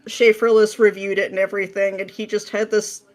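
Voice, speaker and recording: A woman's voice: medium pitch, level tone, fairly quick, a little mellow. A woman guesting on a podcast, ranting casually with exasperated sarcasm and dramatic, mimicking emphasis.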